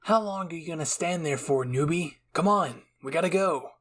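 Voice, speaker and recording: A gruff young scout from an anime fan story, put on by a man. He is curt and dismissive, with a husky voice pitched slightly high. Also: normal speed, fairly bright tone. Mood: impatient. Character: gruff, impatient, mean-talking young male scout. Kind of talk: anime fan fiction roleplay.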